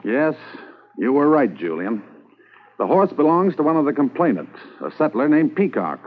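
An actor playing a measured Mountie superior officer in a 1950s radio drama. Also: male voice, on the high side, sing-song, over loud music. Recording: tinny old radio transcription.

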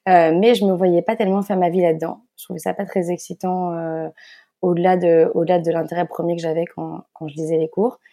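Mellow-voiced young Belgian woman. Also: fairly low pitch. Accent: Belgian French. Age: 20s. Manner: chatty, self-correcting, laughs at herself. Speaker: guest, fashion brand founder. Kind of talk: conversational podcast interview.

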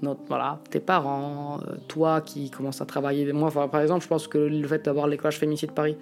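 A man in his late twenties from Italy, talking frankly and reflectively in an interview and often pausing to find his words. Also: on the high side, monotone, vocal fry, faint music behind. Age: late 20s. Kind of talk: candid personal testimony.

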